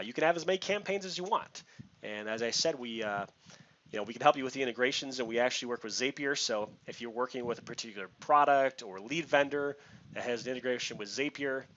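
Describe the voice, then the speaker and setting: A man's voice: mid-range voice, a bit bright. A man giving a casual screen-share product walkthrough, explaining informally step by step. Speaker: software demonstrator. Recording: muffled lo-fi mic with background hiss.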